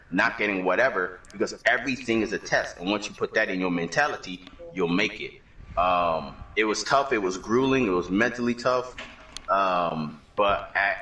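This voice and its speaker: A man's voice: lowish voice, bright, forceful tone, reverberant, far from the mic, rough recording. A man, a motorcycle club member, talking in a laid-back, slangy, rambling way about earning his patch over online voice chat. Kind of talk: casual gaming chat.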